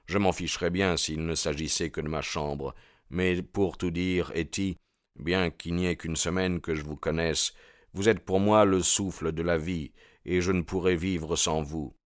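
Man acting a bold, low-voiced young suitor. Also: fairly even intonation, measured pace, dry recording, clean studio sound. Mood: lovesick. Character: bold, defiant, ardent young man, proud and fearless. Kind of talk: audiobook character dialogue.

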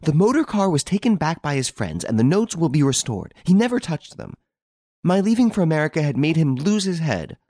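A man's voice: a little high, wildly swooping pitch, moderate pace, vocal fry, darkish tone, slightly rough, no echo, voice pushed up, full character voice. A man voicing a passionate, defiant young woman film star in an audiobook story, breathless and emotional. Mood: indignant, vehement.

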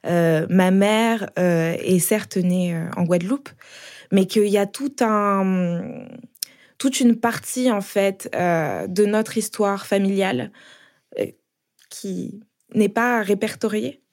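Woman, thoughtful mid-pitched voice. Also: slow, a little mellow. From Paris suburbs, France. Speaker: podcast host. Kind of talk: conversational personal account.

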